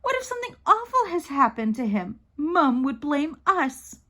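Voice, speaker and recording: A woman's voice: sits high, very animated, normal speed, a bit bright, voice pushed up. A woman voicing an anxious, fussy little girl in a storybook, plaintive and questioning.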